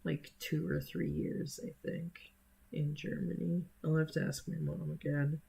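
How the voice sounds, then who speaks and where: Slow, gravelly young streamer chatting. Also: medium pitch, little pitch movement, warm tone. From United States. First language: Spanish. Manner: unhurried and hesitant, many fillers and restarts. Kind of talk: casual stream chat answering viewers.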